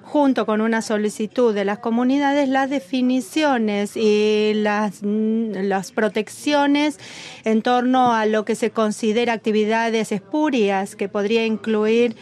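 A woman interpreting a formal meeting as it happens, in a measured, halting delivery that stops often to catch up with the speaker. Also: fairly high pitch, steady tone, darkish tone. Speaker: simultaneous interpreter at a policy meeting.

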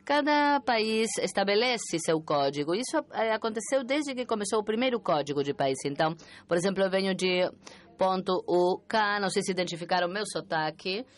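A woman working as a conference interpreter, interpreting a talk with an uneven pace that rushes and then slows, pausing and restarting often. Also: fairly low pitch. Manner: uneven pace, alternately rushing and slowing.

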